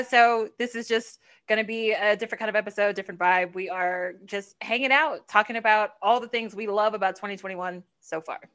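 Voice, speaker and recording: Woman co-hosting a podcast, mid pitch, teasing and laughing, chatty. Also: fairly bright tone. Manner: playful, teasing, laughs often. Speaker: podcast co-host. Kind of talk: casual chat.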